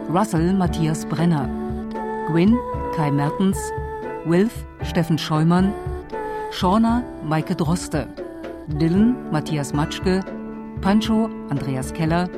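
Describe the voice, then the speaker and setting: Female radio announcer, scripted credits, lowish voice, very unhurried, over prominent music.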